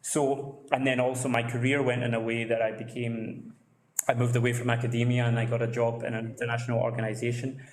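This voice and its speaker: A man at a book launch walks through how his book began, pausing often with fillers. His tone stays flat and level, and the sound rings around a large, echoey room on a livestream. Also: mid-range voice. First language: English. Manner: hesitant, many fillers. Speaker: book author, speaker at launch. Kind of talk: presentation talk.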